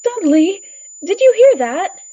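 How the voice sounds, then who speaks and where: High-pitched, anxious woman acting a time traveller's companion. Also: big pitch swings, takes it slowly, mellow, lo-fi sound, exaggerated voice. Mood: wary. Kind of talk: 1940s-style radio play acting and narration.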